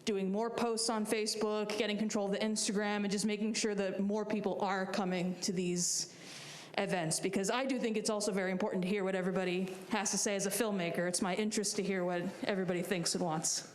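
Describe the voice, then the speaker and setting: A woman's voice: mid-range voice, fairly even intonation, quick pace. A young woman speaks at a city meeting in a chatty, offhand way, poking fun at herself. Her voice rings around a reverberant meeting room. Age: young adult. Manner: chatty, self-deprecating. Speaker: city TV filmmaker. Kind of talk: casual chat at a public meeting.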